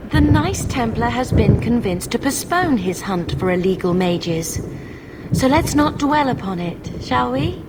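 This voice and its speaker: Sardonic young woman mage, fairly high pitch, dry, wry, video game dialogue, noisy ambience. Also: lively intonation, unhurried. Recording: clear ambient noise behind game dialogue.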